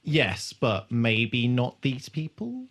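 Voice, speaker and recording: A man with a medium-pitched voice co-hosts a casual recap podcast. He goes through the story hesitantly, piecing it together as he talks. His language is informal and sweary. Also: big pitch swings, slow pace, fairly bright tone. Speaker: podcast co-host. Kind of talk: casual chat recapping a TV episode.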